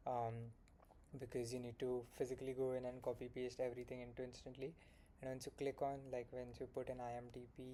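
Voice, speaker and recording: Flat-voiced Indian man rambling late at night. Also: mid-range voice, slight background noise. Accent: Indian English. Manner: rambling, frank, with fillers and self-corrections. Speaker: solo founder vlogging his progress. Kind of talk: late-night casual vlog monologue.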